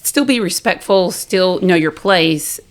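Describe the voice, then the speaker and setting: A talkative woman with a Southern US accent, speaking candidly and loudly as an interview guest. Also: on the low side, unhurried. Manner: loud, talkative, self-deprecating. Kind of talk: candid personal conversation.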